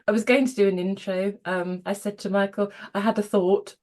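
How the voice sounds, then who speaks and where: A woman co-hosting a podcast with a slightly croaky voice, talking in a rambling, friendly way with fillers. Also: medium pitch, fairly even intonation, fairly quick, darkish tone. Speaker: podcast co-host.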